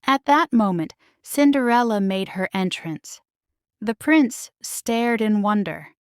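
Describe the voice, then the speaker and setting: A woman narrating a children's storybook in a measured, expressive, scripted read-aloud style. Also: mid-range voice, clear, resonant tone, no room sound, clean studio sound. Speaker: storybook reader.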